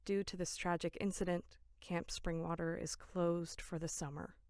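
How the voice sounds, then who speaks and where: A woman's voice: on the low side, fairly even intonation, normal speed, darkish tone, no room sound, clean studio sound, close to an everyday voice. A woman acting in an audio drama as a level-headed young camp counselor, sincere, polite and matter-of-fact. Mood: serious, reading aloud.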